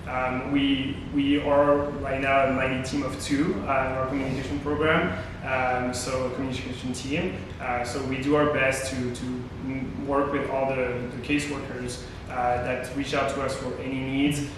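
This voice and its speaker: French-accented man speaking in an echoey room. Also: mid-range voice. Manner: halting, many "uh" fillers, laughs at himself. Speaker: charity communications manager. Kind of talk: informal talk to a members' group. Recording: distant mic in an echoey room with steady background noise.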